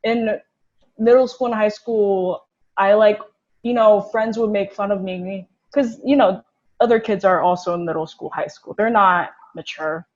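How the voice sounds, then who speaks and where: A woman's voice: medium pitch, measured pace, dull audio. A young woman from Korea, a guest in a casual conversation, talking reflectively with many fillers.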